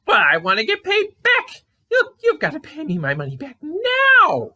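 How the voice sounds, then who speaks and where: A woman puts on a female raccoon puppet with a very high, bright voice. She is anxious and whiny, giggly one moment and hesitant the next, swooping melodramatically in pitch. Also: huge pitch swings, moderate pace, full character voice. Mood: indignant, whiny. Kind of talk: puppet show voice acting.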